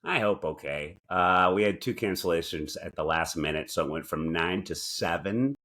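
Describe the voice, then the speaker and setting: Guy podcast cohost, lowish voice, joking around casually, kinda teasing. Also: moderate pace, bright, forceful tone. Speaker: podcast co-host; walking tour guide. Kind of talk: casual comedic banter.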